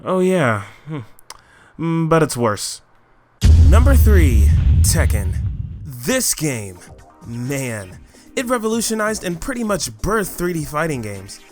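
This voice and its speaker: A young American man co-hosting a scripted countdown with excitable, hammy exasperation. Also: a little high, slow. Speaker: co-host of a countdown show. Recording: music under the voice.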